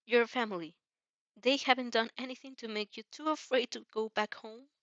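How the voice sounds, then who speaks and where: A woman's voice: fairly high pitch, steady tone, normal speed, no room sound, dull audio, voice pushed up, close to an everyday voice. A woman voicing a kind, worried mother who is hesitant but caring. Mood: stern, concerned.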